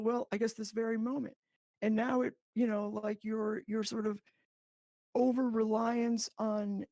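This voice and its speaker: A woman in a spontaneous debate, speaking haltingly and often repeating her words. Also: mid-range voice, gravelly, mellow, no echo. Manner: halting, repetitive. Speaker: debate guest.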